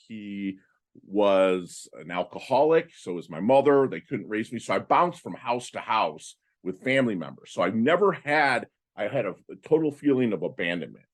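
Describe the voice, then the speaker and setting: A man in his fifties, a podcast guest, telling his life story in a casual, animated way with many fillers and restarts. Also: on the low side, normal speed. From Philippines. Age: 50s. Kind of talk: casual interview talk.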